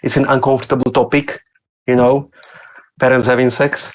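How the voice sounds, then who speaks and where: A man's voice: medium pitch, little pitch movement, moderate pace, dull audio. A man from Slovakia doing open mic stand-up, hesitant, with many fillers and restarts. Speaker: stand-up comic.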